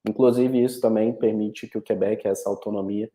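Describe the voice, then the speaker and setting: A man's voice: on the low side, steady tone, a little fast, noisy background. Carioca man presenting with a roomy echo. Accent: Carioca Brazilian Portuguese. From Rio de Janeiro, Brazil. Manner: informative, with frequent restarts and fillers. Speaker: international education representative. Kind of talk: online presentation. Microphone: video call.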